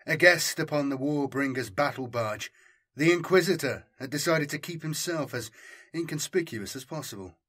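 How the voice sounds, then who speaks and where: A man narrating a scripted story as an audiobook, in a measured, solemn and dramatic way. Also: on the high side, animated, fairly bright tone.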